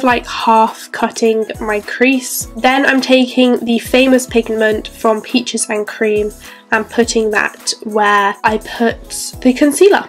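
A young woman chatting through a makeup tutorial, sounding a bit stuffy from a cold. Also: a little high. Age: young adult. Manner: chatty, bubbly, lots of "so yeah". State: congested from a cold. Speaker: beauty vlogger. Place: bedroom with clothes rail.

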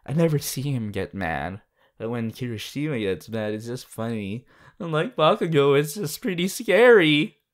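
A woman voicing a sweet, bashful, excitable teenage anime boy in an affectionate, halting ASMR roleplay addressed to the listener. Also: rumbling low. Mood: amused, reminiscing. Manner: halting, affectionate, with small hesitations.

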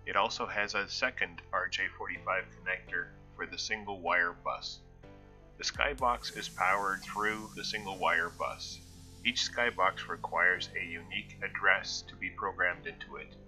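A man reading a scripted product explainer in a formal, measured way. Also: deep voice, pushed and bright. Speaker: product presenter.

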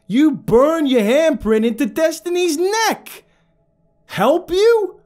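A man's voice: on the low side, clean tone. A man doing a defiant, foul-mouthed captive. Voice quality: loud and profane, shouting.

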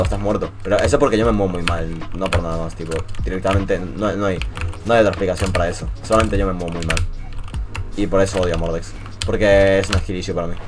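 A young man from Venezuela with a mixed Latin American and Spanish accent, commenting casually over his gameplay in an animated, swearing, joking rant. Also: low voice, noisy background. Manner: animated ranting, swears, jokey. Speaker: gaming YouTuber.

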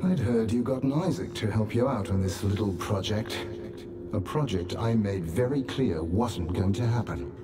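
A man's voice: on the low side, moderate pace, natural voice. A man acting a stern, coldly dismissive colony leader, authoritative and condescending, in a video game cutscene. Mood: cold, commanding. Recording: clear ambient noise behind.

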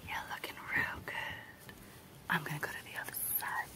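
A woman voicing a sinister, coaxing evil clown dentist in a breathy ASMR horror roleplay whisper, slow and menacing. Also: lightly acted. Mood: menacing, soothing. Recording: noisy close-up home recording.

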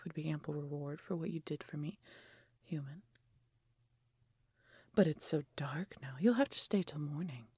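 A woman voicing a proud, haughty wounded female dragon in a fantasy audio roleplay, with breathy, husky, imperious delivery. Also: low-pitched, dark tone, dry recording, exaggerated voice. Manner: imperious, theatrical, deliberate phrasing.

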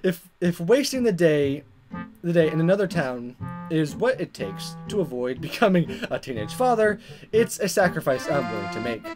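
A woman's voice: deep voice, sing-song, moderate pace, over music. A woman hosting a let's play, reading dialogue aloud dramatically and commenting in a playful, exaggerated way. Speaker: gaming video host.

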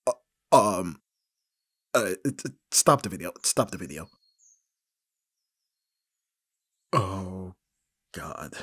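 A man's voice: medium pitch, fairly bright tone, breathy edge, no room sound, clean studio sound, full character voice. A man playing a flustered, awkward young best friend in an intimate ASMR roleplay, speaking hesitantly with sighs and stammers. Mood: mortified, flustered. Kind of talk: ASMR roleplay, one-sided intimate dialogue.

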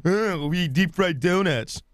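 A young man hosting a casual radio show, talking in an excited, crude, emphatic way into a close studio microphone. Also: sits high, a little slow, no echo. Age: young adult.

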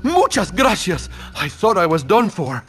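Melodramatic game butler, Spanish accent, very animated, music behind. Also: male voice, sits high, measured pace, heavily acted. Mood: relieved, pleading. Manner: excitable, melodramatic. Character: anxious, effusive male butler in a cartoon town. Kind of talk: animated video game character acting.

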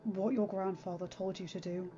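A woman's voice: mid-range voice, fairly even intonation, moderate pace, warm tone, muffled recording, faint music behind, close to an everyday voice. A woman voicing a terse grown knight who speaks in short, clipped orders. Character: curt grown male knight, tense.

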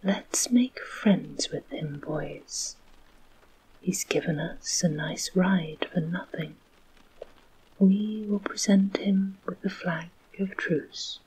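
A woman voicing a bold, friendly boy in a slow, breathy whisper in a storybook reading. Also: fairly low pitch, a little mellow, a little hiss or hum, rough recording.

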